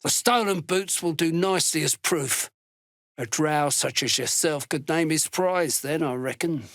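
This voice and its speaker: A gruff game villain, a callous dark-dwarf soldier hunting slaves. The voice is gravelly and fairly high for a male, with a hard forceful edge. The delivery is blunt, scornful and threatening. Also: a little slow, dry recording, clean studio sound. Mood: gruff, sardonic. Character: gruff, menacing duergar slave hunter, callous fantasy soldier. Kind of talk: video game character voice lines.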